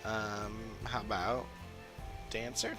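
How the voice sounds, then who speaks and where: Offhand, low-voiced male game streamer. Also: little pitch movement, slow, over music. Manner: muttering, offhand, thinking aloud. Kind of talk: casual play-along commentary.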